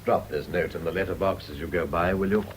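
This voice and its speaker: An actor playing a wealthy, well-spoken English gentleman, polite and evasive, in a radio drama. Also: low voice, fairly even intonation, fast, lightly acted. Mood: earnest. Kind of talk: BBC radio drama acting. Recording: noisy radio drama recording.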